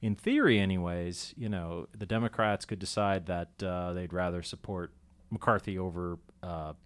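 A man's voice: sits low, normal speed, clean tone. A man chatting casually about politics, explaining how things work with some wry humor.

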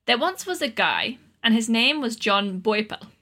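A woman's voice: a little high, animated, moderate pace, bright, forceful tone. A woman co-hosting a podcast, telling a story in a chatty, playful, self-deprecating way. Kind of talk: casual comedic storytelling.

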